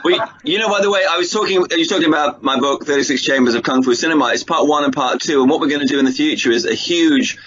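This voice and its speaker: A man's voice: on the high side, fast talker, few pauses, fairly bright tone, a little hiss or hum. A talkative, jokey man chatting enthusiastically as a guest in a casual radio interview. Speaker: radio guest, filmmaker and author. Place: remote call to a radio show. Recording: dull lo-fi radio call.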